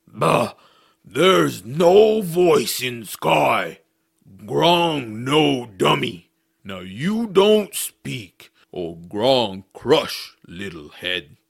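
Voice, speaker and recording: A man playing a slow-witted, menacing, hungry giant in an audio drama, speaking in halting broken sentences. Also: medium pitch, sing-song, gravelly, husky, heavily acted. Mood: gruff, threatening. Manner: halting broken speech in third person.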